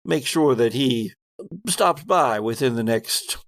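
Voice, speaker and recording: A smugly dismissive military officer of the company , acted by a man in a tabletop game. He hedges and stammers with condescension, in a slow, creaky delivery. The recording is dry and close. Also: mid-range voice, animated, fairly bright tone, dry recording. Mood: placating. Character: evasive male military commander serving the company, smugly dismissive.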